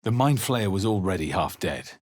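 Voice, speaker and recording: A husky, fairly low-voiced adventurer from a fantasy video game. He is terse, watchful and wry, with theatrical, widely swinging intonation. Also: very animated, moderate pace, no room sound, clean studio sound. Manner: terse, theatrical, emphatic on incantations. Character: young-adult male adventurer hero, alert, wry, chanting spells. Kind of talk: video game character barks and spell incantations.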